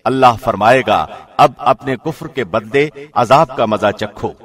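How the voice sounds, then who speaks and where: A man reading a scripture translation in a scripted, measured radio-presenter style. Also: medium pitch, fairly bright tone. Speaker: translation reader, radio host.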